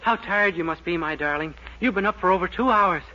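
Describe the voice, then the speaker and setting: A man's voice: sits high, a little fast, close to an everyday voice. Man playing a flustered, eager young sweetheart. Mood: gallant. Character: naive, excitable young man in love. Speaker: comedic lead, Dennis. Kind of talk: 1940s radio sitcom acting. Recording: noisy, dull old radio recording.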